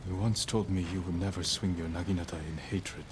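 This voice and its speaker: A man playing a loyal, noble samurai lord in a video game, calm, earnest and restrained. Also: low voice, brisk, background noise, lightly acted. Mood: firm, compassionate. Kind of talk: video game cutscene acting. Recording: dramatic music behind the voice.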